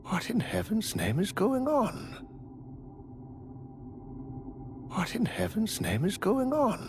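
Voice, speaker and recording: An actor playing a courteous, theatrical gentleman adventurer in a dark fantasy video game, speaking in formal, flowery, archaic phrases. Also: sits high, wildly swooping pitch, measured pace, noisy background, exaggerated voice. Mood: bewildered. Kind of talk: video game character dialogue.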